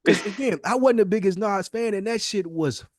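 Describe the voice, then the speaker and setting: A man's voice: high-pitched, a little fast, dry recording, studio quality. A man co-hosting a podcast, debating and telling stories about hip-hop in an emphatic, slangy, casual way, often repeating himself for emphasis. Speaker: podcast co-host.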